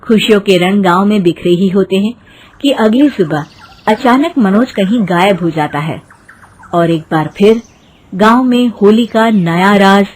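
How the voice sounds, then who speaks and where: A woman's voice: medium pitch, measured pace, darkish tone. A woman reading scripted narration for a moral story as its narrator.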